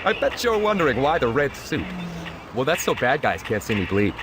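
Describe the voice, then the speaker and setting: An actor playing a cheeky, sarcastic, unhinged comic-book antihero in action-comedy film dialogue. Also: male voice, medium pitch, big pitch swings, normal speed, noisy background, lightly acted. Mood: flippant.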